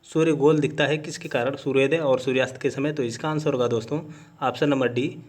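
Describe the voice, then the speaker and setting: A man's voice: mid-range voice, steady tone, a little fast, a little mellow. A man teaching an exam-prep lesson, methodically reading out questions and then giving each answer.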